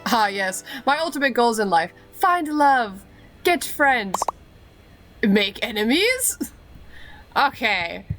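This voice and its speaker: A woman's voice: a little high, sing-song, takes it slowly, long pauses, a bit bright. Brief: woman streamer with a cold, chatty and rambling, game music playing under her. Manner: chatty, filler-heavy, giggly. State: has a cold. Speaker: let's play streamer. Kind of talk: casual gameplay commentary.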